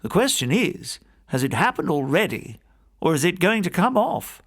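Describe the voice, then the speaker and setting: A male reader puts on an assured university don from an audiobook. He speaks higher, with a lively rise and fall, and picks out details precisely. His way is donnish, methodical and matter-of-fact. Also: moderate pace, heavily acted. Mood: grave, helpful.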